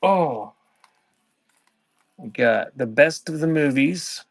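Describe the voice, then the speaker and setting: A man running a casual live-stream sale, talking in an offhand, halting way and thanking viewers by name. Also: fairly high pitch, lively intonation, a little hiss or hum. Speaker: live online seller.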